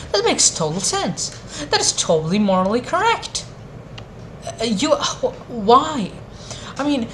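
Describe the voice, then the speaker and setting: A woman giving an unscripted, opinionated anime review rant in an exasperated, halting, sweary manner. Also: fairly high pitch, slight background noise.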